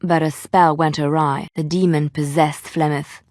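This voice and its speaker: A woman playing a gentle young female bard in a fantasy game, telling old legends in a measured, lyrical, earnest way. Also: low voice, flat delivery, no room sound, studio quality, lightly acted. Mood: wistful, solemn. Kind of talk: dramatic fantasy storytelling, game voice acting.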